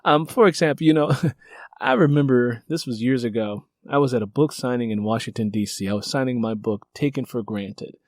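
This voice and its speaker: A man's voice: mid-range voice, fairly quick, no echo. Man interviewing, rambling conversationally in a dry room. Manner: animated storyteller who acts out remembered exchanges; rambling, repeats "you know". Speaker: interviewer and novelist.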